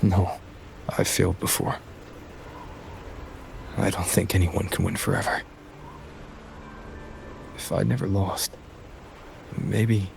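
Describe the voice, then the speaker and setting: Gravelly, resolute male game hero. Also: low voice, darkish tone, a little hiss or hum, heavily acted. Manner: earnest, understated, reflective. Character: calm, resolute young male wandering hero. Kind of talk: scripted video game voice acting.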